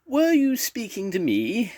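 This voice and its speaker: A man voicing a huge but gentle and courteous monster mother in a storybook. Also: high-pitched, huge pitch swings, unhurried, voice pushed up, full character voice. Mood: apologetic.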